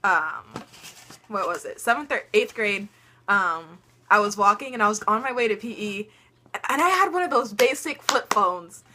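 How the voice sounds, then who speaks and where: Chatty bright-voiced teen girl vlogger. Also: mid-range voice, lively intonation, moderate pace, a little hiss or hum. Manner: chatty, laughing often, lots of ums. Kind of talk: casual storytelling chat.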